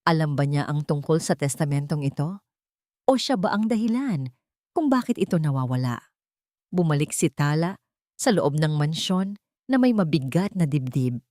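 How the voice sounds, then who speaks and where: Low-voiced woman narrating drama. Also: a little mellow, no room sound, studio quality. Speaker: audiobook narrator.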